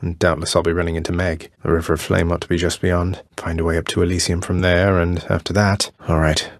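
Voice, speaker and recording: A man's voice: low voice, flat delivery, brisk, dry recording. A male actor playing a wry, confident young underworld prince in a video game, with a dry, understated, cocky way of speaking. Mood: wry, determined. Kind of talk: short in-game voice lines.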